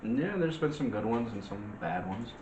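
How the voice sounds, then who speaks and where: Soft-spoken man, distant and echoey. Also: lowish voice, expressive, normal speed. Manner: hesitant, searching for words. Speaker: co-host of a movie review show. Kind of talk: casual chat. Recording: distant, noisy, echoey room.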